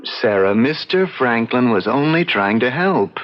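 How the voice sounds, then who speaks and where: A man's voice: medium pitch, animated, a little slow, lightly acted. A man playing a defensive, evasive young fiancé in a radio mystery, hesitant, repeating himself and protesting. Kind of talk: old-time radio mystery acting. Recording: dull old radio drama recording.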